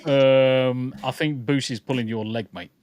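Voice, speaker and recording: A man's voice: medium pitch, steady tone, unhurried, a little mellow. A man co-hosting a casual podcast livestream, joking and swearing freely while greeting viewers in chat. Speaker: podcast co-host.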